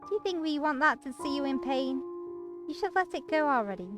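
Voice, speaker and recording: A woman's voice: high-pitched, expressive, a little fast, pitched above the performer's own voice. A woman voicing a caring, forthright young female friend in a visual novel, earnest and pleading. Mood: gently scolding. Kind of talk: visual novel read aloud. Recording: music behind the voice.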